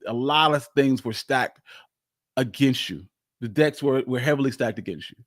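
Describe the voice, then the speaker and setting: A man's voice: mid-range voice, normal speed, fairly bright tone, no room sound, clean studio sound. Emphatic, conversational man hosting a livestream. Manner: conversational, emphatic, repeats phrases for weight. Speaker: host of a history live stream. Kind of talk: casual live talk answering audience questions.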